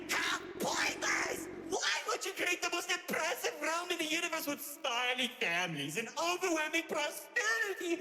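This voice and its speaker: A melodramatic, pompous narrator villain from a video game, sarcastic and self-absorbed. The voice is piercingly bright and theatrical, ringing through a long echoing hall with faint music underneath. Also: male voice, very high voice, creaky, full character voice. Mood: exasperated ranting. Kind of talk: theatrical game voice acting with comic rants.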